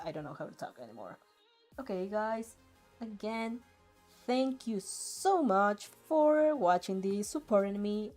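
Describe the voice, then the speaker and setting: A woman's voice: a little high, darkish tone. Giggly, rambling young woman reading fanfic. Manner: giggly, rambling, easily flustered. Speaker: fanfic reader and streamer. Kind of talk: reaction reading and casual chat.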